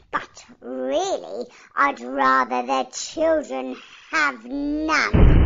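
A woman voicing a greedy, gloating storybook villain in a theatrical, menacing, drawn-out delivery. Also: sits high, creaky, heavy background noise, voice pushed up, exaggerated voice. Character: dastardly, gloating, greedy villain writing a ransom note. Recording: dull sound under loud music.

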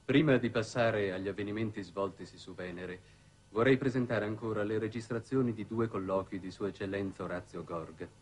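A man's voice: on the low side, brisk, a little hiss or hum, lightly acted. An actor playing a cold, impassive male secret agent, narrating a formal report in a 1960s television drama.

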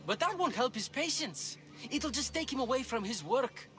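An actor playing an earnest, anxious ancient Greek physician's apprentice in a video game, with a polite, slightly flustered manner. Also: male voice, very high-pitched, very animated, moderate pace. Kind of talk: dramatic video game acting. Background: music.

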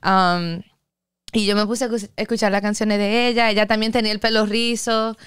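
A young woman from the Dominican Republic chats as a guest in a podcast studio. Her voice comes through exceptionally clear and dry from a boom mic. She slips English words into her talk and laughs easily. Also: little pitch movement, fairly quick, a bit bright, no room sound. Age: young adult. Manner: mixes English words into Spanish, laughs often. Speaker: guest singer. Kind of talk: casual interview chat.

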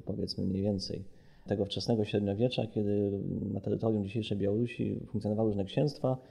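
A man's voice: fairly low pitch, dark tone. A man speaking as an analyst in an interview, explaining with many qualifications and self-corrections. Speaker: policy analyst and report author.

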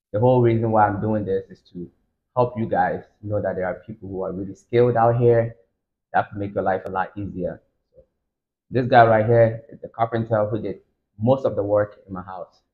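A man in his thirties hosting a casual sit-down interview. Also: on the low side. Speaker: interviewer, channel host. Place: furnished room, seated in armchairs. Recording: thin phone-like band-limited sound.

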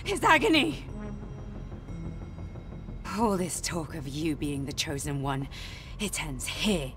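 A woman's voice: deep voice, big pitch swings, slow pace, far from the mic, over music, full character voice. An actress playing a bitter, defiant female warrior in a video game, with an intense, taunting, emotional delivery. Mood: cold threat. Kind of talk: dramatic video game voice acting.

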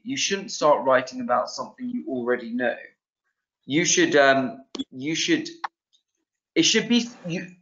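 A man's voice: a little high, a bit bright. A young man presenting an online teaching webinar, talking loosely with many ums and restarts, from a small room over a video call. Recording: dull lo-fi video-call sound.

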